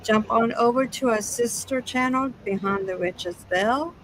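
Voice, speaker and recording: Woman, unhurried pace, halting casual delivery, podcast host, faint background noise. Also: on the high side, fairly bright tone, low-quality recording. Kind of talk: casual chat, show sign-off.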